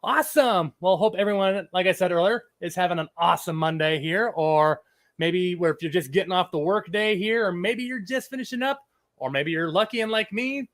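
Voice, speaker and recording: A man's voice: high voice, brisk, fairly bright tone. A man hosting a casual live chat stream, his voice a little scratchy from a lingering cold, rambling and reading out viewers' messages. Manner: chatty, rambling, reads chat aloud. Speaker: livestream host.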